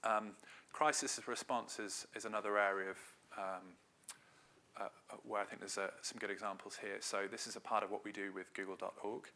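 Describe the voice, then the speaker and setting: A man's voice: on the low side, steady tone, brisk, bright, forceful tone. A middle-aged man from Wales giving a conversational conference presentation as a marketing director, with loose phrasing and frequent hedges.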